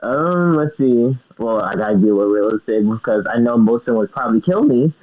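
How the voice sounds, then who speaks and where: A man's voice: medium pitch, expressive, a little fast. A young man speaking as a guest in a casual interview, hesitant and giggly, leaning on lots of fillers. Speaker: guest wrestler. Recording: dull lo-fi sound.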